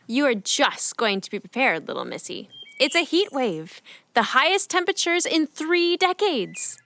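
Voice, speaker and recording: A woman's voice: high voice, sing-song, normal speed, heavily acted. A woman playing a caring, fussy mother who teases her young daughter in a children's audio drama. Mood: fussing. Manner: warm, fussing, playfully teasing.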